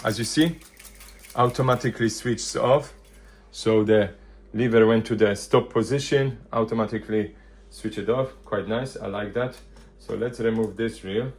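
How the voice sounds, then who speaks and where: A man presenting a casual hands-on product demonstration, thinking aloud in loose, halting phrases. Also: lowish voice, steady tone, husky. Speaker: vintage hi-fi video presenter.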